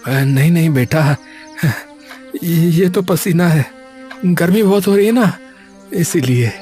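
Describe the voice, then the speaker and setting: Man as an anxious father, slightly high-pitched. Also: takes it slowly, dark tone. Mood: tender, evasive. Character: anxious, tender, struggling father of a sick girl. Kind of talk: animated story character dialogue. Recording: music behind the voice.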